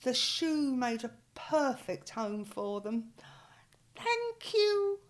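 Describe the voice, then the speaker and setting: An older English woman with an expressive manner, reading a children's picture book aloud. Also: fairly high pitch. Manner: slow, expressive storytelling. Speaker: storyteller.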